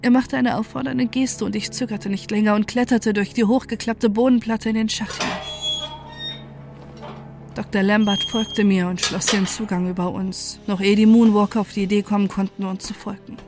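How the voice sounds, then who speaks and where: A woman reads a scripted horror tale as narrator. Her voice sits at a medium pitch, and her phrasing is deliberate and dramatic. Quiet music plays beneath her. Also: steady tone. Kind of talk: scripted horror story narration, first person.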